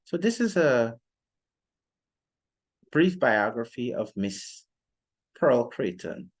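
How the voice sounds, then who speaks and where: A young man giving an explanatory lecture in a formal, deliberate manner, pausing often between phrases. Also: medium pitch, big pitch swings, husky. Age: young adult. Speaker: literature teacher presenting a lesson. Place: indoor room, seated before a plain wall.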